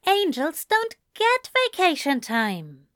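A woman's voice: high voice, wildly swooping pitch, slow pace, fairly bright tone, no echo, clean studio sound, pitched above the performer's own voice, exaggerated voice. A woman voicing a prim, snippy male angel who is easily flustered and scandalised, in a fan fiction story reading. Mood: prim, evasive. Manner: prissy, snippy, evasive.

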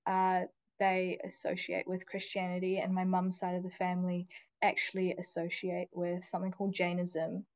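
A woman who is a teacher, a guest on a podcast, speaking casually and thoughtfully with many pauses and fillers. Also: medium pitch, no echo, lo-fi sound. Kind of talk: casual interview chat.

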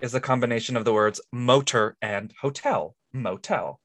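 A man's voice: mid-range voice, moderate pace, fairly bright tone, no room sound. A man co-hosting a podcast, explaining things in a casual, jokey, conversational way. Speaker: podcast co-host.

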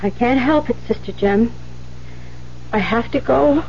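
An actress playing an earnest, hesitant young nun in a 1970s radio drama, speaking in a halting, unsure way. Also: female voice, fairly high pitch, vocal fry, a little mellow. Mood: sad, resigned. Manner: halting, unsure, repeats words. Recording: muffled, hissy 1970s radio recording with hum.

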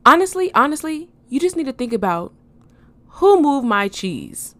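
A woman's voice: high voice, sing-song, dry recording. Sassy woman podcaster losing her voice. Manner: sassy, quick comebacks. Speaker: podcast co-host. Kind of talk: casual podcast banter.

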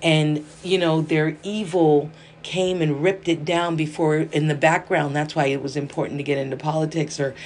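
Hoarse woman podcaster from Los Angeles, rambling and chatty, faint heater hum behind her. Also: low-pitched, steady tone, normal speed, a little mellow. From South Central Los Angeles. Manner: rambling, chatty, self-interrupting with laughs. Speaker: podcast host. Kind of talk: casual solo podcast monologue. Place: indoor room with space heater. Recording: faint background whirring noise.

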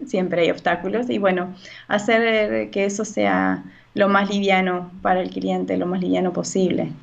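Slow, creaky-voiced woman. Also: mid-range voice, muffled recording. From Chile. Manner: hesitant, many fillers and restarts. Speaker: real estate agent. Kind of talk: conversational interview answers.

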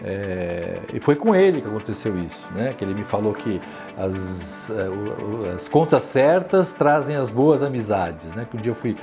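A man in his sixties with a São Paulo accent, recalling his working life in an interview, talking slowly with many restarts and tag questions. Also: on the low side, very animated, over music. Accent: São Paulo Portuguese. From São Paulo, Brazil. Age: 60s. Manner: unhurried, hesitant, frequent tag questions and restarts. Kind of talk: reflective life-story interview.

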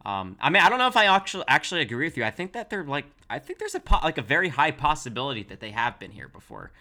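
A man's voice: fairly high pitch, sing-song, very fast, sharp tone. A young man co-hosting a podcast in casual chat, laid-back and rambling with lots of fillers. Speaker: podcast co-host.

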